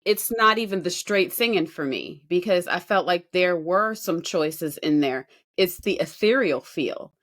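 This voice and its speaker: A woman with a slightly low voice speaks as a podcast co-host. Her commentary is conversational and emphatic, stacking similar phrases to drive each point home. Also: lively intonation, unhurried, hardly any pauses. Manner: emphatic, builds points through repeated phrases. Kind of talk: casual reaction commentary on a singing show.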